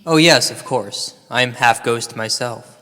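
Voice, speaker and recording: Guy doing a nervous paranormal investigator, earnest and hesitant, regular pitch, radio comedy, lots of reverb. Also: slow, sharp tone. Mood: troubled, desperate. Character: anxious, self-doubting male paranormal investigator, earnest and eccentric. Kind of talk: comedy radio drama acting.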